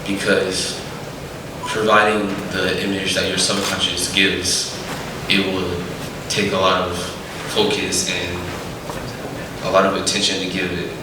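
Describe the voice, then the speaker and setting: Teen guy doing a reflective poem, low flat voice, echoey hall far from the mic. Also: unhurried, pauses often, noisy background. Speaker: teen poet. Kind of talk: spoken word poetry performance.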